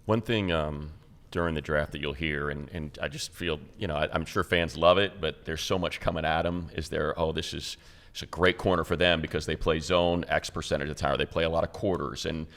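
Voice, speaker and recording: A man with an American accent and a low voice. He co-hosts a sports talk show and puts questions conversationally, building them up in long, rambling stages. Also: steady tone, fairly quick, a bit bright.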